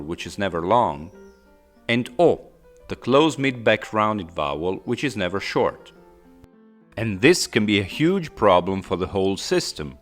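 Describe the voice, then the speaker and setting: Italian-accented man explaining linguistics calmly. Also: on the low side. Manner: clear explanatory delivery with playful asides. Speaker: linguistics teacher. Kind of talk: scripted educational narration.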